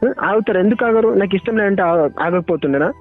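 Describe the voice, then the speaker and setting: A man's voice: sits high, quick pace. A young man with a Telangana accent in an emotional private phone argument, sounding hurt and reproachful and repeating his phrases insistently. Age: young adult. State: hurt, upset. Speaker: caller's former boyfriend. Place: phone call. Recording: tinny phone call recording with music. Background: music.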